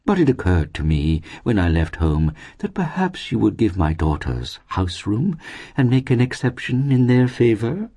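A complacent, unctuous gentleman played by a male narrator in a storybook tale. He lifts and swoops through his flattering phrases, deliberate and oratorical, thoroughly pleased with himself. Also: lowish voice, breathy edge, pitched above the performer's own voice, full character voice. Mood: smooth persuasion.

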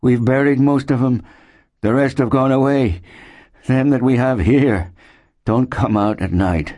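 A sickly, gloomy settler, played by a male narrator in a storybook reading. His voice is faint and breathless, lifted a little, and he talks in a slow, labored, anxious way. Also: medium pitch, a little mellow, pitched above the performer's own voice, lightly acted. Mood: grim warning.

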